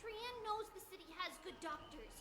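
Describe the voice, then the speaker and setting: A woman's voice: extremely high pitch, animated, moderate pace. A woman voicing a tiny cheerful girl demigod who refers to herself in the third person. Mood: chatty. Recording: roomy game audio over music.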